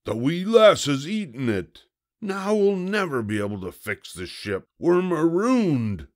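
A man voicing a loud, gruff, blustering pirate captain from a storybook, with theatrical hollering. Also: a little high, big pitch swings, measured pace, pitched above the performer's own voice. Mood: indignant, alarmed. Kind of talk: storybook character voice.

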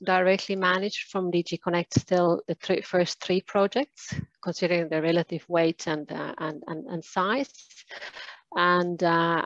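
A woman giving a conference keynote over a video call, talking in a halting way with many fillers and restarts. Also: lowish voice, gravelly, no echo, dull audio. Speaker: keynote speaker. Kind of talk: conference presentation.

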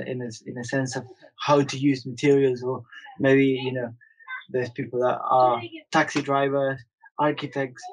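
Hesitant artist from Argentina. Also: male voice, mid-range voice, rough recording. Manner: hesitant, many fillers and restarts. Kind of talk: casual interview talk.

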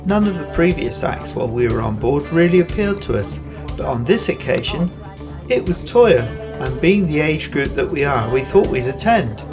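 A man's voice: on the high side, very animated, moderate pace, over music. Older British man narrating a vlog. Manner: plain, chatty storytelling. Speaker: vlog host. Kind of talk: scripted narration.

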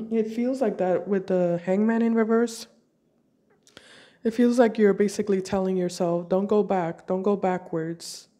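A woman reading tarot cards for her viewers while fighting a cold, speaking slowly and deliberately at her table at home. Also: medium pitch, steady tone, mellow. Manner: slow, deliberate, intuitive. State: has a cold. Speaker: tarot reader.

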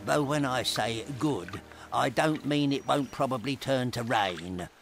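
An actor playing a gloomy, lanky marsh creature, a solemn pessimist grumbling in a rustic manner for an audio drama. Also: male voice, medium pitch, a little slow, creaky, fairly bright tone, soft background music. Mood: gloomy, resigned.

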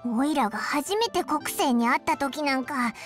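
Super high squeaky little game fairy, brash n chatty, music playing behind. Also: female voice, animated, normal speed. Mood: curious, blunt. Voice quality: squeaky, childlike. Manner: blunt, boyish, casual phrasing. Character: tiny childlike fairy companion, brash, chatty, easily surprised. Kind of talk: Japanese anime-style game voice acting.

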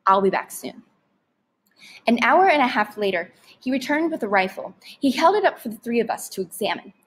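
Higher-voiced woman reading a story aloud. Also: very animated, fast, slightly rough. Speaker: read-aloud narrator.

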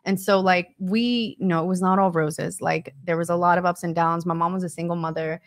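Low-pitched young American woman, casual storyteller. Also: fairly even intonation, brisk, no echo, studio quality. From born in Ecuador, raised in North Carolina from age four. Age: young adult. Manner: informal, frequent "like". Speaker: podcast guest, painter and storyteller. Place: small podcast studio. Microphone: close studio microphone on boom arm.

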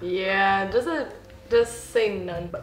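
A woman's voice: medium pitch, slow pace, roomy sound, background noise. A young adult woman in casual, teasing banter as a quiz contestant. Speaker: friend competing in a quiz. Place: indoor living room. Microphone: camera a few feet away.

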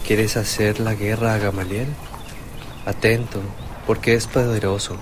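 A man's voice: fairly low pitch, little pitch movement, a little slow, background noise, close to an everyday voice. An actor playing an earnest, cautious and respectful male disciple in a religious radio play. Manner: deferential, earnest. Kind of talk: radio drama acting.

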